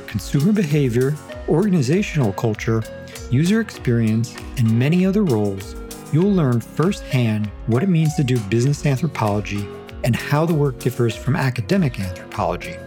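A man hosting a podcast, reading a measured, scripted intro and then welcoming his guest. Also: mid-range voice, mellow. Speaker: podcast host.

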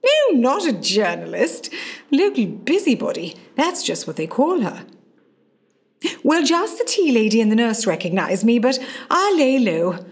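A woman's voice: fairly high pitch, big pitch swings, unhurried. A woman acting in a radio play as a witty, self-possessed famous actress in her forties who is a hospital patient. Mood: breezy, reassuring. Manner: wry, dry, theatrical and affectionate.

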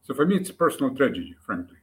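Halting older man with Eastern European accent. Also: mid-range voice, sing-song. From Ukraine. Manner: halting, hesitant delivery with long pauses. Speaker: interview guest, commentator. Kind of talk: reflective interview answers.

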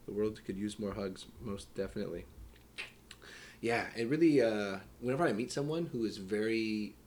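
A man's voice: lowish voice, moderate pace, a little mellow. A man in casual conversation as a host, speaking in a laid-back, slightly hesitant and agreeable way. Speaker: podcast host.

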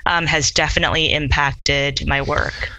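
A woman's voice: low voice, fairly even intonation, normal speed, pushed and bright, no echo, low-quality recording. A woman whose first language was Korean, talking in an interview about her teaching in a reflective way, with many fillers and hedges. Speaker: law instructor, interviewee. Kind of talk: conversational interview.

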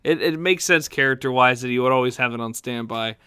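A man in a casual, animated film discussion, amused and conversational, close on the microphone. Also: mid-range voice, fairly quick, smooth, dry recording. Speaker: film podcast host.

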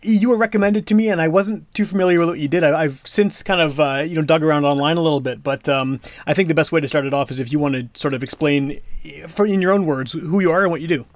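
A man hosts a casual podcast interview and speaks quickly, with a fairly high voice. His questions ramble and are full of fillers. The recording is dry and close, with no room sound. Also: dry recording. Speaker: podcast host.

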